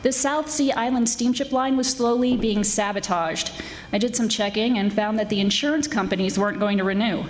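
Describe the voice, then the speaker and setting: A woman playing a witty, tough private detective in a 1940s-style radio drama, with brisk wisecracking narration. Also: medium pitch, a little hiss or hum, natural voice. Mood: explanatory.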